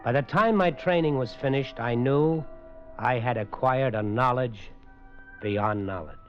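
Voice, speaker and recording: A man's voice: unhurried, close to an everyday voice. An actor playing a thoughtful man with trained super senses, narrating and talking in a 1950s radio drama. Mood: reflective narration. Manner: earnest, thoughtful, lively in pitch. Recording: dull old radio broadcast with faint music.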